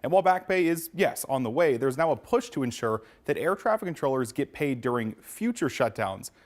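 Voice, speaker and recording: Very fast-talking American male reporter. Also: mid-range voice, very animated. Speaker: news reporter. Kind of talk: live studio news report.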